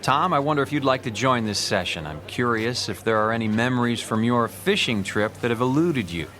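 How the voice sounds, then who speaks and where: An actor playing a soothing, courteous hypnotist and cult-like leader, coaxing in formal, measured phrases in an audio drama. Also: male voice, medium pitch, animated, fairly bright tone, slight background noise, close to an everyday voice.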